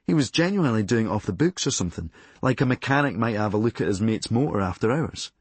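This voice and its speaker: A man with a Glasgow Scottish accent reading a scripted memoir, with a deadpan, darkly humorous delivery. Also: on the low side, fast talker, slightly rough, no room sound. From Glasgow, Scotland. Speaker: audiobook narrator and author.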